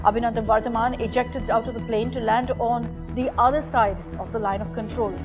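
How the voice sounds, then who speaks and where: A woman's voice: fairly high pitch, fairly even intonation, a little fast. A woman reading a scripted news report. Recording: music behind voice. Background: background music.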